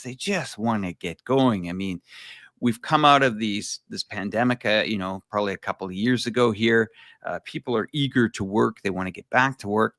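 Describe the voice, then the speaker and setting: A man's voice: lowish voice, a bit bright, no room sound, studio quality. A man co-hosting a livestream, speaking in a casual, rambling way with many fillers and the odd laugh. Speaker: co-host.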